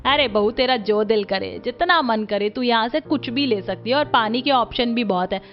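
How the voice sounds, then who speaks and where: A woman's voice: fairly high pitch, normal speed, highs cut off, faint music behind, lightly acted. A woman voicing a kind, generous middle-aged mother-in-law in a cartoon story. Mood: indulgent.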